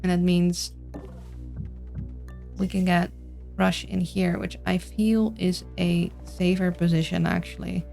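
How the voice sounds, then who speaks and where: A woman's voice: lowish voice, little pitch movement, slow, creaky, long pauses, dark tone. A woman with a Dutch accent giving casual gameplay commentary, rambling and thinking aloud. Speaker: game streamer. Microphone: streaming mic with voice filters. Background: game music.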